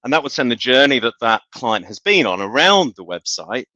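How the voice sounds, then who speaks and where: A man explaining things in a measured, consultant-like way as an expert on a business webinar. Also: medium pitch, very animated, pushed and bright, no room sound. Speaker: industry expert panelist. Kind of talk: conversational business webinar discussion. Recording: dull, band-limited online call audio.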